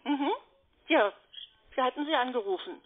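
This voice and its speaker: A woman's voice: high voice, expressive, normal speed. Woman call centre agent on a phone line. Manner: hesitant, evasive, polite. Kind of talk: unscripted customer phone call. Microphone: telephone. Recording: narrow-band phone line.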